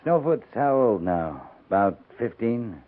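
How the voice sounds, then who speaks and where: An actor playing a firm, plain-spoken frontier cavalry captain in a 1950s radio western, terse and grave. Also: male voice, lowish voice, big pitch swings, a little slow. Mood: terse, subdued. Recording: narrow tinny 1950s radio recording.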